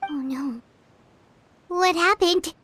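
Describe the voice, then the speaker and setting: A woman voicing a small childlike fairy companion in a video game, with a squeaky voice and an earnest, inquisitive manner. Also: slow, a bit bright, a little hiss or hum, exaggerated voice. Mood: worried. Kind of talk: video game character acting.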